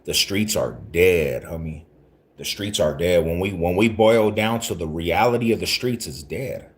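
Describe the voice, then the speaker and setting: A man in his forties with a very low voice talks on a casual livestream. He rambles loosely in street slang and circles back to repeat his points, greeting viewers as he goes. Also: normal speed. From Denver, Colorado. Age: 40s. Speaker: livestream host talking to viewers.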